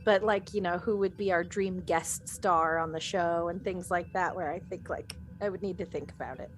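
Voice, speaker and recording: A woman's voice: fairly low pitch, steady tone, normal speed. A woman in casual group conversation as a podcast cast member, speaking informally and a little hesitantly. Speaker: podcast cast member reading fan questions. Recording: clearly audible background noise.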